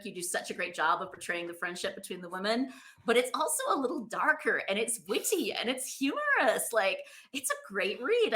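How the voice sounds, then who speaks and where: A woman with a bright, forward voice hosts a chatty interview podcast. She sounds enthusiastic and encouraging at an average pitch, keeping the conversation informal. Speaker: podcast host.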